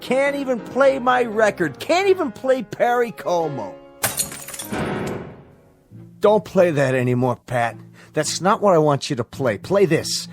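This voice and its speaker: An actor playing a middle-aged American husband, tipsy, bitter and defiant, in a mid-century TV drama. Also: male voice, high voice, very animated, measured pace, pauses often, soft background music, exaggerated voice. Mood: defiant, ranting. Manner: defiant, sarcastic, rambling. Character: drunken, bitter middle-aged husband at his birthday party.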